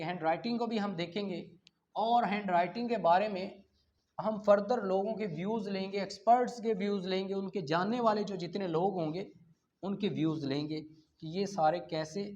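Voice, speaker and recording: A man's voice: high-pitched, darkish tone. A man with a Pakistani accent giving a didactic exam-prep law lecture, explaining with everyday examples and rhetorical questions. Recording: dull, lo-fi lecture audio.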